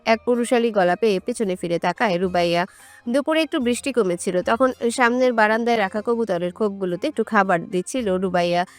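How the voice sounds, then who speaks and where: Woman narrating a story over soft music. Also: medium pitch, a little fast. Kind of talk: scripted romantic story narration.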